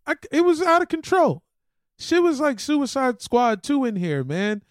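A man's voice: very high voice, big pitch swings, normal speed, no echo, clean studio sound. A man co-hosting a podcast, bantering casually with slang, jokes and swearing. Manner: slangy, profane, joking. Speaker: podcast co-host, comedian.

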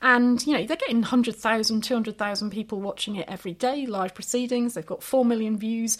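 A woman co-hosting a political podcast, explaining events briskly with a few fillers. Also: a little high. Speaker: podcast co-host. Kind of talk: casual political chat.

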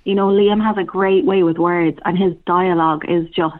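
A woman's voice: on the low side, steady tone, normal speed. A woman, a theatre director, talking conversationally as an interview guest over a phone-like line. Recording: narrow, thin phone-like line.

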